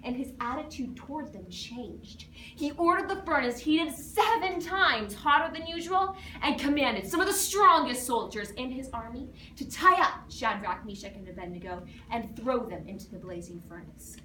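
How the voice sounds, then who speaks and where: Animated woman reciting scripture, distant and echoey. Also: high-pitched. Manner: theatrical, emphatic, dramatic swells and pauses. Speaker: competitive dramatic scripture reciter. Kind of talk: dramatized Bible recitation. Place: indoor performance room, recorded from afar. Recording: distant mic in noisy, echoey room.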